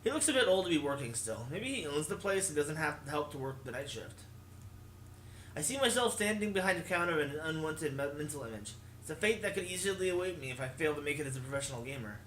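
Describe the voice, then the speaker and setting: Higher-voiced man narrating in an echoey room. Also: lively intonation, quick pace, fairly bright tone, a little hiss or hum. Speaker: let's play host. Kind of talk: reading a visual novel aloud, narration. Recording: echoey room, music behind. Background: game music.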